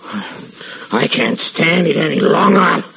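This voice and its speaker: An actor playing a haunted, paranoid man sliding into madness, halting and repeating himself in old-time radio horror style. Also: on the high side, lively intonation, creaky, pushed and bright, heavily acted. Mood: uneasy dread, then manic, hysterical. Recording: hissy, muffled old radio recording.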